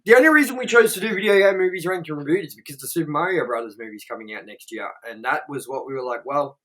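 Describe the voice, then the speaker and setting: A man's voice: fairly high pitch, quick pace, fairly bright tone, rough recording. A man co-hosting a casual podcast chat. He rambles and circles back on himself, piling on fillers and curse words as he goes. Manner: rambling, filler-heavy, frequent "like" and "you know what I mean". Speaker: podcast co-host. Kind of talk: casual chat, swearing freely.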